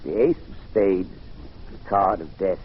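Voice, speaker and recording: An actor playing a frail, decadent old gentleman in an old-time radio drama, gravelly and halting, savoring his words as they break into breathy whispers. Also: low-pitched. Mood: trembling relish. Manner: theatrical, savoring, halting, courtly. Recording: dull, hissy vintage radio recording.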